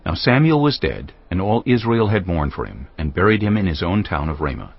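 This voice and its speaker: A man narrates scripture in a dramatic, scripted style. His voice is very deep and husky, with animated intonation. Faint music sits behind it. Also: fairly quick. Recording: muffled studio reading with faint music.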